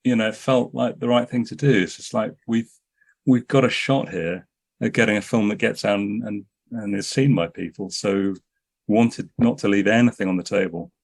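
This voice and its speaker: A man's voice: on the low side, normal speed, vocal fry, breathy edge. A man telling anecdotes as a filmmaker guest in a podcast interview, often restarting his sentences.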